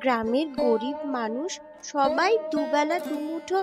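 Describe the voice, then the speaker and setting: A woman playing a high-voiced, defiant village girl. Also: unhurried, over loud music. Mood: earnest. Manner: earnest, defiant. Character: determined poor village daughter, young. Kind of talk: Bengali cartoon story acting.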